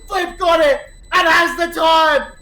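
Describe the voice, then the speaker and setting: A man casting an esports match play by play, excitable and building to shouts at big plays, his voice going hoarse. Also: very high-pitched, slow pace, reverberant, a crowd in the background, low-quality recording. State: losing his voice. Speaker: esports caster.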